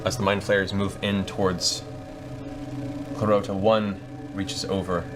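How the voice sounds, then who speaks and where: Low-voiced man narrating over music. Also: flat delivery, measured pace. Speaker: game master. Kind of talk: dramatic narration of a tabletop game. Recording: music behind. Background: background music.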